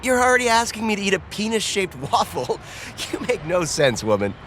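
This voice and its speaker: A man's voice: high voice, sing-song, fairly quick, fairly bright tone, a little hiss or hum. An actor playing an easygoing, bashful man in a romantic comedy audio drama, talking in a hesitant, wry, self-deprecating way. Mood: amused, incredulous.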